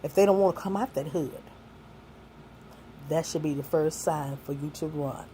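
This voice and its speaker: A woman's voice: sits low, moderate pace, dark tone, a little hiss or hum. A woman in her sixties, a single mother and grandmother, giving young people earnest, folksy life advice that mixes faith with plain street talk.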